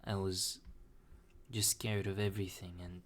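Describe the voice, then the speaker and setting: A young man talking slowly and hesitantly in a personal confessional monologue, with many restarts. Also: sits low, little pitch movement, a little hiss or hum. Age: young adult. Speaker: vlogger.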